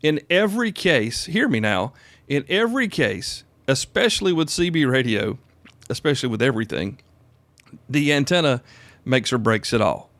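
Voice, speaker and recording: A man's voice: mid-range voice, big pitch swings, normal speed, a bit bright, no room sound. A man in his fifties hosting a solo podcast, talking casually and informally, repeating phrases for emphasis, close on the microphone. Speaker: podcast host.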